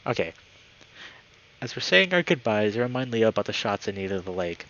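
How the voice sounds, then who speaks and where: A man's voice: fairly low pitch, brisk, highs cut off, a little hiss or hum. A man speaking as a live streamer, moving between friendly chat and reading a story aloud. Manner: upbeat, friendly, excitable when greeting viewers.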